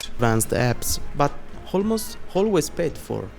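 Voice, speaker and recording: A man's voice: fairly high pitch, big pitch swings, slow pace, vocal fry, a little mellow, soft background music. A man with an Italian accent, a non-native English speaker, narrating a scripted video essay in an earnest, explanatory way.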